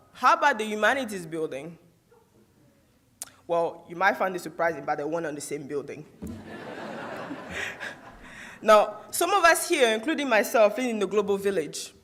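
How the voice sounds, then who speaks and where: Ivorian student woman giving a speech. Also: medium pitch. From Côte d'Ivoire. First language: Guro. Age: young adult. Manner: rhetorical, asks the audience questions. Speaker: student orator. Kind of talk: prepared competition speech. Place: theatre stage.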